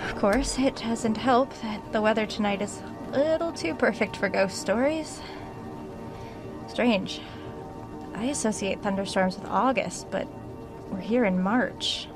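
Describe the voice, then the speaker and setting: A woman's voice: medium pitch, a little slow, over music, natural voice, low-quality recording. An actress playing an uneasy woman writer investigating a haunted house, conversational, wry and self-doubting, in a horror audio drama.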